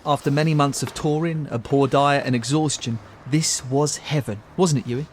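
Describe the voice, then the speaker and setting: An actor as a male narrator looking back on touring life in a radio play. His voice is mid-pitched and reflective, with faint ambience behind. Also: steady tone, moderate pace, slight background noise, lightly acted. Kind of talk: scripted radio drama narration.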